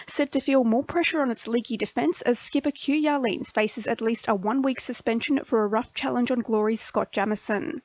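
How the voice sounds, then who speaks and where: A woman with an Australian accent delivers scripted radio sport. Her voice is fairly high, and the sound is dry and a touch dull. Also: no echo. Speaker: sports news reader. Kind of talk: scripted sports bulletin reading.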